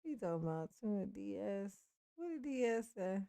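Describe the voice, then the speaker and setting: A woman's voice: mid-range voice, big pitch swings, fairly quick, creaky, mellow, no room sound, clean studio sound. A woman in her forties chatting casually on a live stream, speaking bluntly and profanely with frequent restarts and laughs. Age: 40s.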